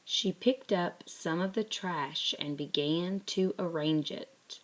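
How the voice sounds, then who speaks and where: Low, slow woman reading a storybook. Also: rough recording. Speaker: storyteller reading a picture book aloud. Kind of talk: scripted children's story narration.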